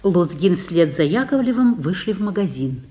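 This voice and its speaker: A woman's voice: on the low side, very animated, moderate pace, warm tone. A woman narrator giving scripted narration of a play's stage directions.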